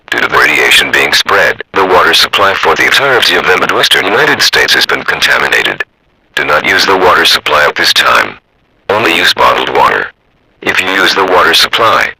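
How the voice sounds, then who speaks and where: Gravelly, robotic low-voiced male alert announcer. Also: bright, forceful tone, rough recording. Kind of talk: scripted emergency broadcast announcement.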